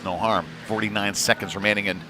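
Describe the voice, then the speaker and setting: A man's voice: fairly low pitch, steady tone, fast talker, music in the background. A man giving casual, unpolished live play-by-play commentary on a youth hockey game, his delivery rising with the action. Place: cold ice rink during a live stream. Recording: clear venue noise behind the voice.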